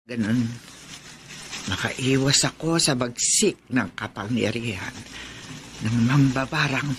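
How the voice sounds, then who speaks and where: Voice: mid-range voice, slow pace, background noise, close to an everyday voice. An actor playing an old village healer woman in a radio horror drama, groaning and crying out in pain. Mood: brooding.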